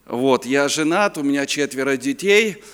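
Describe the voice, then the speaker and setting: Ukrainian guy in his 50s preaching, jokey, hunts for words, smooth clear voice, big echoey hall. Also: mid-range voice, animated, normal speed, fairly bright tone. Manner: chatty, joking, searching for words. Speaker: guest preacher. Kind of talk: sermon at a youth conference. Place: conference hall. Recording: reverberant hall.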